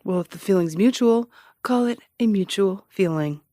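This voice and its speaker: An actress playing a brash, dogged ex-cop turned private detective in an audio drama, with a wry, insistent, teasing way of talking. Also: female voice, medium pitch, normal speed, mellow, no room sound, studio quality, lightly acted. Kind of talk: naturalistic audio drama dialogue.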